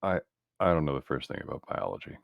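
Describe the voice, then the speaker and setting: Man, extremely deep voice, dry close recording, roleplaying game player, casual in-character speech. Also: very animated, fast, gravelly, dry recording, lo-fi sound.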